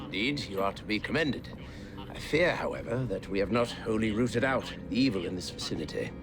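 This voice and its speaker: An actor playing an unflappable, deliberate, very polite lawman in a video game. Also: on the low side, animated. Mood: courteous, firm. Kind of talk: video game cutscene acting.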